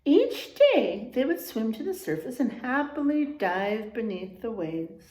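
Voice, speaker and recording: A woman teacher reading a children's storybook aloud in an expressive way, adding explanations and checking in with her young listeners. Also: on the high side, measured pace. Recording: roomy, echoing room sound.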